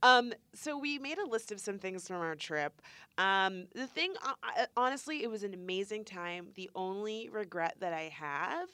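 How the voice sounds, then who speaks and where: A woman chatting casually on a vlog, animated and rambling, full of "like". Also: medium pitch, normal speed, clean tone, dry recording. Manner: animated, rambling, heavy use of "like". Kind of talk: casual chat.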